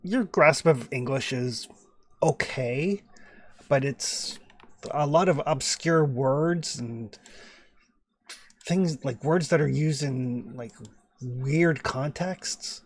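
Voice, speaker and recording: A man of ordinary pitch runs a tabletop horror game, narrating outcomes and rules. He rambles, circles back and pads his sentences with fillers. It sounds like a home microphone on a voice chat. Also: slow pace, long pauses. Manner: rambling and chatty, many fillers and restarts. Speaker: game master.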